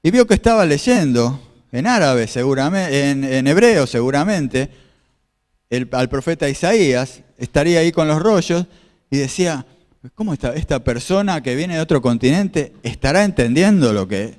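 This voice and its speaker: A man's voice: on the high side, lively intonation, moderate pace, a little mellow, long reverb tail. A man preaching a sermon as a pastor, explaining scripture and asking his listeners questions. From Argentina. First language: Spanish. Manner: explanatory, repeats phrases, asks the audience questions. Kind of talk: sermon with slides.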